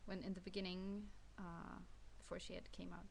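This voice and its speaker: A woman's voice: lowish voice, little pitch movement, normal speed, creaky, a little hiss or hum, poor audio. A young Swedish woman, not a native English speaker, talking hesitantly in a casual personal vlog, with many fillers and restarts. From Sweden. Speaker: vlogger.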